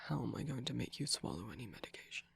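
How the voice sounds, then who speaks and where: A man playing a soft, caring vampire boyfriend in an intimate ASMR roleplay, speaking gently with pauses. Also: mid-range voice, expressive, no room sound, full character voice. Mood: soothing, coaxing. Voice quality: soft, breathy.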